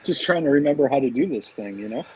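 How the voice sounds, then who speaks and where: A man's voice: medium pitch, animated, fast, a little mellow, breathy edge, no room sound, poor audio. A man chatting casually as a podcast guest, easygoing and informal. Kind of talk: casual chat.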